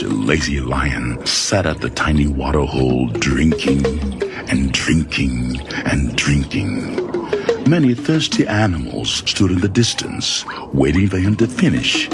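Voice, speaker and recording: A man narrating a children's storybook in an expressive, dramatic storytelling manner. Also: extremely low pitch, vocal fry. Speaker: audiobook narrator. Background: music.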